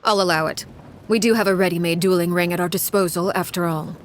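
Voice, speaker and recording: Low, cold game villainess, formal and unhurried; woman voicing. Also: a little hiss or hum, close to an everyday voice. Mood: menacing. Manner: measured, formal, icily calm. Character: cold, commanding adult woman, stern head of a house. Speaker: villainous house leader.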